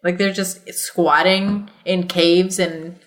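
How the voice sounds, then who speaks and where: A woman's voice: fairly low pitch, fairly even intonation, slow pace, fairly bright tone. Give me an irreverent, giggly woman reading aloud. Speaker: podcast co-host reading a story aloud. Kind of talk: casual comedic read-aloud with banter.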